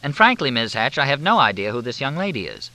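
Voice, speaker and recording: A man as a wry, deadpan young reporter. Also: medium pitch, quick pace, pitched above the performer's own voice. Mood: dry. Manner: dry and deadpan.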